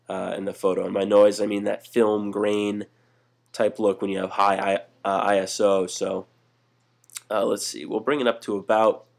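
Young guy, low voice, easygoing tutorial host, lots of fillers. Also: creaky. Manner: relaxed, many "uh" fillers and restarts. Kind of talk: casual screen-recorded software walkthrough.

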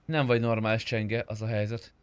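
A man's voice: on the low side, level tone, smooth. A man chatting casually while roleplaying in an online game. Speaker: gamer in a multiplayer roleplay server.

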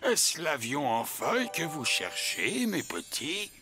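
A man voicing a gentle, wise old goat in a children's cartoon, musing and humming between phrases. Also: a little high, huge pitch swings, full character voice. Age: older. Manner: slow, hesitant with hums and musing pauses. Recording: music behind the voice. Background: music.

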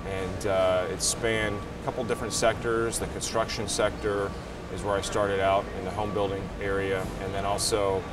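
A man in his forties giving measured, halting interview answers as a trade association president. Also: lowish voice, flat delivery. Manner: halting, measured, searching for words. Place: trade show booth, indoors. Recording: noisy trade show floor, slightly distant mic.

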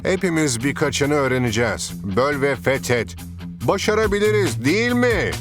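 An actor voicing a laid-back, encouraging football player in a cartoon dub. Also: male voice, a little high, expressive, moderate pace, lightly acted. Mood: confident. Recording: music behind the voice.

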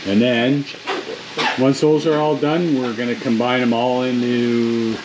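A man's voice: medium pitch, flat delivery, unhurried, background noise. A middle-aged man hosting a casual home cooking vlog, chatting through the steps and talking to viewers as folks. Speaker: cooking show host. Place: home kitchen and dining room, indoors. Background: cutlery and dishes clinking.

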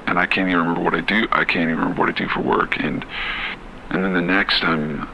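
Man acting a very deep, gravelly haunted man. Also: steady tone, sharp tone, slight background noise, rough recording. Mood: confused, uneasy. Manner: halting, hesitant, brooding delivery with trailing repetitions. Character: disoriented, haunted man slipping into dreamy, fatalistic surrender. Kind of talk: horror game taped monologue.

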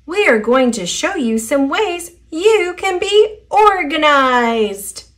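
A woman's voice: high voice, sing-song, slow pace, fairly bright tone, pitched above the performer's own voice, heavily acted. A woman voicing a cheerful, encouraging little storybook character who teaches children in an upbeat way. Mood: cheerful, instructive. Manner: upbeat, instructive. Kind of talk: children's storybook read-aloud.

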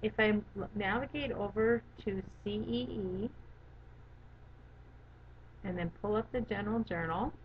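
A woman giving a methodical, step-by-step software walkthrough as an instructor. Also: mid-range voice, measured pace, long pauses. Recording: dull lo-fi audio with background noise.

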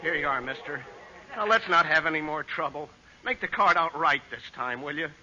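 A man's voice: fairly high pitch, animated, normal speed, lightly acted. A man playing a surly, sarcastic store clerk in a 1940s radio comedy, on a muffled old recording with hiss. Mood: irritated. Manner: sarcastic, grudging.

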